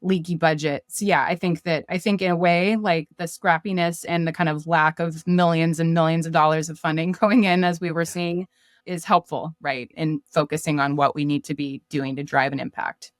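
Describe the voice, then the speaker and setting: A woman's voice: low-pitched, fairly even intonation, moderate pace, no room sound, clean studio sound. A woman speaking as a podcast guest in casual business chat, often voicing imagined quotes as she explains. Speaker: podcast guest, startup marketer.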